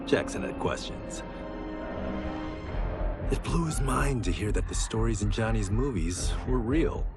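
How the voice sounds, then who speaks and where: An actor playing a stoic, plain-spoken swordsman and reformed gangster in a video game, narrating in a measured, understated way. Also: on the low side, expressive. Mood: composed, reflective. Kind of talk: scripted in-character narration. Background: loud music.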